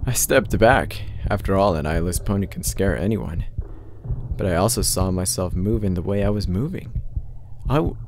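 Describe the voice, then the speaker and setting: A man's voice: low voice, expressive, normal speed, a little hiss or hum. A man voicing a tormented, blinded unicorn pony who recounts his past in an intense, dramatic first-person story reading. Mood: wary, reflective. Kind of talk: dramatic reading of fan fiction. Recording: loud music under the voice. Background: prominent background music.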